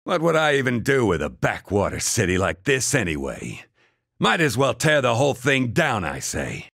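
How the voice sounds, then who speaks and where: An actor playing an arrogant, menacing warlord in a video game, taunting in a drawling, mock-polite way. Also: male voice, medium pitch, wildly swooping pitch, normal speed, creaky, a bit bright, dry recording, clean studio sound, full character voice. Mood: smug threat. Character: arrogant, menacing male warlord of an invading army.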